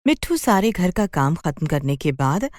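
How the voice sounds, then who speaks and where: A woman's voice: fairly low pitch, sing-song, normal speed, a little mellow, no room sound, clean studio sound. A woman narrating a scripted children's story as a storyteller.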